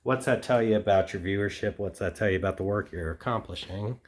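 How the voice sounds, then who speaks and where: A man conducting a casual group interview, halting and rephrasing his questions as he goes. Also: lowish voice.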